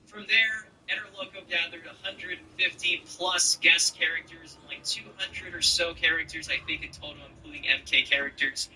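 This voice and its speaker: High sharp bright guy doing casual gaming news, slangy, talks right to viewers, bit of noise behind. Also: fairly even intonation, normal speed, few pauses, rough recording. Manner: informal, slangy, addresses viewers directly. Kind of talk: casual video game news commentary.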